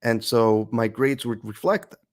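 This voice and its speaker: A man who teaches English, speaking as an interview guest in a thoughtful, halting way with frequent restarts. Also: medium pitch. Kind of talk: reflective interview conversation.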